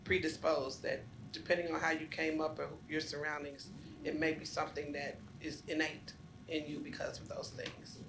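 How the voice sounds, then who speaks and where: Calm woman with a flat delivery. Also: deep voice, moderate pace, background noise. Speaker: meeting participant. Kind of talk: spontaneous group report. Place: large meeting room.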